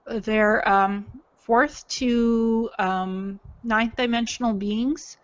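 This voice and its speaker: A woman's voice: on the high side, steady tone, drawn-out words, dull audio. A woman from Ukraine giving unscripted personal testimony in an earnest, matter-of-fact manner. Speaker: self-described alien contactee telling own story.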